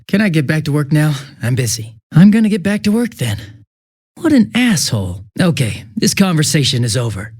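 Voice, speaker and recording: An actor playing a smug, two-faced young police detective in a video game, casual and sarcastic with a taunting, cruel edge. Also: male voice, fairly high pitch, darkish tone, slightly rough. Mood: scornful, evasive, then breezy, busy. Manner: casual, sarcastic, taunting, shifting from breezy to menacing. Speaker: police officer.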